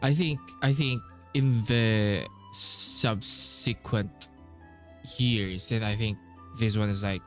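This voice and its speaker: A man's voice: fairly low pitch, steady tone, slow, music in the background. A man giving casual playthrough commentary as a game streamer, hesitant and trailing off mid-thought.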